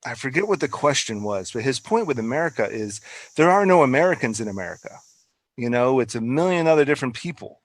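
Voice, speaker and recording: A man hosts a casual podcast and thinks aloud, tripping over words as he goes. His voice is mid-pitched and recorded dry and close, with no room sound. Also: quick pace, dry recording. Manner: rambling, stumbling, animated. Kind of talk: casual interview talk.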